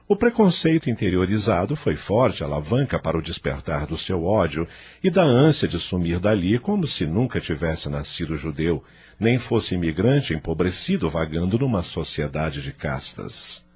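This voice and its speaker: A man's voice: low-pitched, very animated, normal speed, darkish tone, breathy edge. A man narrating an audio novel from a script in a solemn, theatrical, dramatic manner.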